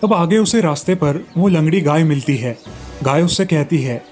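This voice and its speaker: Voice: mid-range voice, lively intonation, moderate pace, a little mellow, soft background music. A narrator reading a scripted moral story in storybook style.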